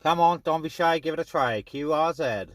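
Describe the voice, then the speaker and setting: A man's voice: fairly high pitch, normal speed. A British man casually chatting as a radio hobbyist, making CB calls and talking to his viewers. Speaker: radio hobbyist vlogger and CB operator. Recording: noisy, clicky radio hiss.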